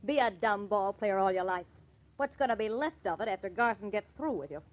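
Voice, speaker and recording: A woman playing a pitcher's cynical, pleading girlfriend in an old-time radio crime drama, sarcastic and scolding in American slang. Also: animated, brisk, lo-fi sound, natural voice. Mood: sarcastic, warning.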